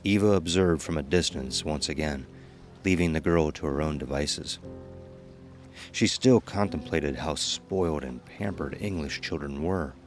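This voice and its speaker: A man's voice: very deep voice, unhurried. A man giving scripted narration of a horror story as its narrator. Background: music.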